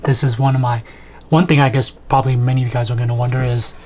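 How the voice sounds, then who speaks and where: Male vlogger, thirties, conversational product walkthrough, mid-pitched, with clear background noise. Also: little pitch movement, fast talker, darkish tone. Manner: conversational, thinking aloud. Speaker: video host, product reviewer. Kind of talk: casual unboxing and setup commentary. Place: small home room, indoors.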